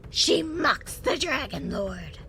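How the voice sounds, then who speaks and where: A woman's voice: high voice, very animated, slow pace, music in the background, exaggerated voice. A woman voicing a spiteful, fawning, dog-like minion in a fantasy audio drama, goading and accusing.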